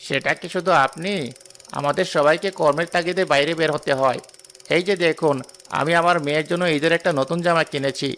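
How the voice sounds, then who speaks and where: A man's voice: a little high, steady tone, a little fast, fairly bright tone, close to an everyday voice. A man playing a kindly, generous passenger and family man in a cartoon drama, courteous and earnest.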